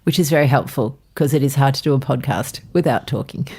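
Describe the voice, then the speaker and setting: Woman, Australian accent, deep voice, upbeat, bantering, podcast co-host. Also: brisk, darkish tone, breathy edge. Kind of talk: casual podcast chat.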